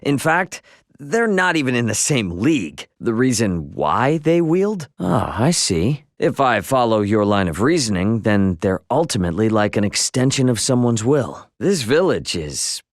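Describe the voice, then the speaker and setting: An actor playing an easygoing, cocky, good-natured young hero in a video game, talking in a casual, teasing, slangy way. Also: male voice, mid-range voice, very animated, a little slow, dry recording, studio quality. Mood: wry, then sincere. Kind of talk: English video game dialogue acting.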